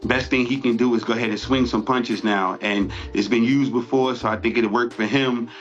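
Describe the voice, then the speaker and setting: A man's voice: medium pitch, steady tone, moderate pace. A man with a mid-range voice shares a casual opinion. The recording is dull and lo-fi, lacking detail. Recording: dull lo-fi clip.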